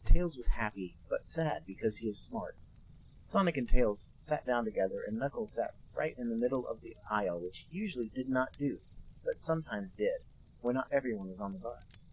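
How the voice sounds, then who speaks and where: Man reading a fanfic deadpan. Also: medium pitch, moderate pace, breathy edge, rough recording. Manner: deadpan mock-serious reading. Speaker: podcast co-host reading a fanfic. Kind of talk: comic dramatic reading.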